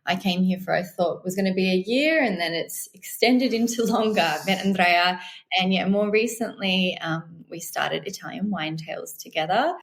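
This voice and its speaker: A woman's voice: lowish voice, moderate pace. A woman with an Australian accent, a wine educator and tour leader chatting casually as a podcast guest and laughing easily.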